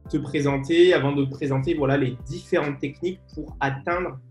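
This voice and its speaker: A man's voice: on the high side, fairly even intonation, normal speed, a little mellow, roomy sound, faint music behind. A man hosting an interview, asking long questions with many fillers and hesitations. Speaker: host.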